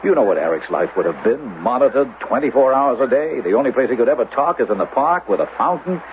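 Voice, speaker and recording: A man's voice: medium pitch, expressive, quick pace, natural voice. Narrowband old radio recording, faint noise, male actor as determined doctor husband, urgent, coaxing, reassuring, radio drama. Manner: urgent, coaxing, swinging from hushed to emphatic. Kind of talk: 1970s radio drama acting.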